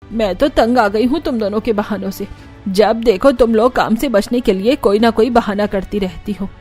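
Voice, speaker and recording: A woman's voice: on the high side, moderate pace, a little mellow, faint music behind, natural voice. A woman voicing a bossy middle-aged mother-in-law in a cartoon family story, with a stern, commanding manner. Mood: angry, exasperated. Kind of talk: animated family story dialogue.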